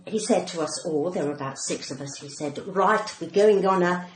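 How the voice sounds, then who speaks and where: A woman's voice: on the low side, normal speed. A middle-aged woman with a cut-glass British accent, a comedian telling stories in a theatrical, conversational way and acting out the dialogue. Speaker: comedian and podcast guest. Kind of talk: animated conversational storytelling. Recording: roomy echo, faint background noise.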